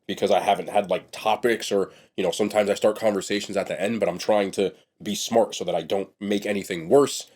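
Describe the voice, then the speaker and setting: Low-voiced stuffy-nosed young man. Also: a little mellow. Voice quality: nasal from a blocked nose. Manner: blunt and chatty, trails off and restarts. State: congested and sniffly. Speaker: food vlogger. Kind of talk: casual mukbang food review.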